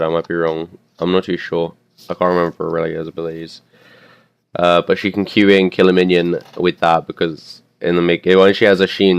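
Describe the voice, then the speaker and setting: A young man commentating a custom game he also plays in, his voice a bit hoarse, rambling and correcting himself as he goes. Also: deep voice, no echo. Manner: rambling, unsure, self-correcting, filler-heavy.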